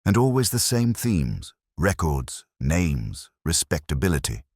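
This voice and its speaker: A man's voice: deep voice, very animated, unhurried, a little mellow, dry recording, studio quality, heavily acted. A man voicing an earnest, deferential police sergeant in an audiobook mystery, plain-spoken and questioning. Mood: puzzled.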